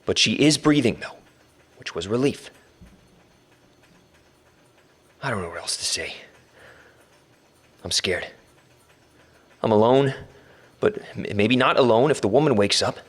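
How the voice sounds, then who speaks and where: A man playing a frightened but wry kidnap victim in an audio drama, recording halting messages for help. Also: mid-range voice, animated, close to an everyday voice. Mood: afraid, hopeful. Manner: halting, self-correcting, wry.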